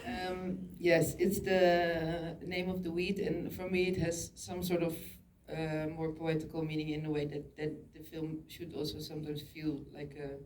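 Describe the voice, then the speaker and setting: A woman's voice: low-pitched, level tone, noisy background. A woman from the Netherlands, a film director, answering audience questions while searching for her words. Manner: slow, searching for words, laughs easily. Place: large cinema auditorium, on stage. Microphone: handheld mic through the hall speakers, filmed from the audience.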